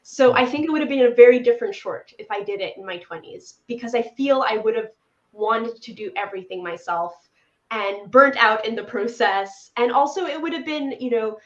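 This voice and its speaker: A woman in her thirties, born in Poland, talks about her work with enthusiasm as an interview guest. Her voice sits a little high and comes through a video call. The room sounds echoey and the audio is somewhat dull. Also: moderate pace. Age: 30s. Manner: enthusiastic, upbeat. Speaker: animator guest. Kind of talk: casual interview chat.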